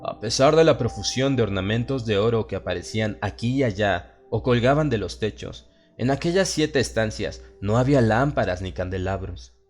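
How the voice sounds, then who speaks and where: A man with a Latin American Spanish accent narrates a horror audiobook in a solemn, measured, dramatic way. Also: lowish voice, lively intonation, darkish tone. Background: music.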